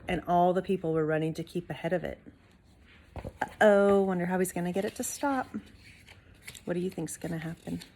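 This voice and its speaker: A woman reading a storybook aloud expressively to young listeners, with casual asides like a teacher. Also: mid-range voice.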